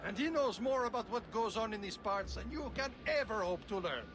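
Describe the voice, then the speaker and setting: A scornful old-guard mentor. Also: male voice, high voice, lively intonation, fairly quick, noisy background. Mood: measured. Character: stern, scornful male mentor leader. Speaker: mentor of the brotherhood. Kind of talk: video game cutscene acting. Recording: game sound with loud ambience.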